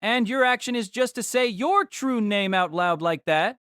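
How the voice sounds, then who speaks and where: A man playing a distraught, exasperated young student in an audio drama, whiny and high-strung. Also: lively intonation, normal speed, fairly bright tone, clear, resonant tone, dry recording, clean studio sound.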